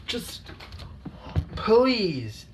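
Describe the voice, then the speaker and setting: A woman's voice: medium pitch, big pitch swings, extremely slow, far from the mic, noisy background. A woman hosting a casual reaction commentary in a mocking tone, often stumbling and repeating words. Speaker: commentary host.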